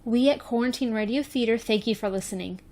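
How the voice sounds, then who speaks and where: Slightly high-voiced woman announcer. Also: quick pace, darkish tone. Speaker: announcer for a radio drama group. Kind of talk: scripted podcast outro narration.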